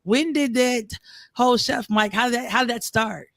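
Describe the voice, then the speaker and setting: Podcast co-host, hoarse, giggly, easygoing, dry close sound. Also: medium pitch, moderate pace, fairly bright tone, no room sound. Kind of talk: casual podcast interview.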